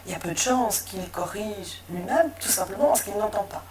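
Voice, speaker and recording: A woman teacher demonstrating pronunciation work with a student in a lively way. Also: mid-range voice, moderate pace, a little hiss or hum. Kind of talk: interview testimony.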